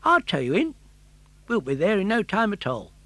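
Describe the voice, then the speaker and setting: A brisk, cheerful tunny fish who talks fast and keeps things light. A man puts him on in a raised, lively voice, close and dry. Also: high voice, dry recording, voice pushed up, heavily acted. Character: friendly chatty tunny fish.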